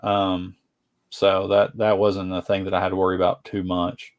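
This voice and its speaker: An easygoing man in his mid-forties speaks in a group chat. His voice sits a little low, and he often agrees and fills the gaps with small asides. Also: little pitch movement, moderate pace. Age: 40s. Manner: easygoing, agreeable, many fillers.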